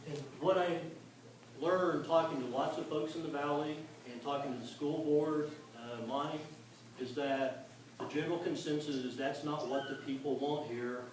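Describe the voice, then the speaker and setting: A man, a political candidate, answering questions at a town forum in a measured, explanatory way. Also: mid-range voice, a little mellow, a little hiss or hum. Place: community meeting hall. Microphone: distant microphone. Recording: echoey hall from far off.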